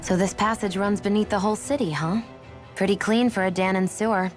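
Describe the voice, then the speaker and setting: An actress playing a wry, questioning, skeptical adventurer in a video game. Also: female voice, medium pitch, fairly even intonation, brisk, faint music behind, close to an everyday voice.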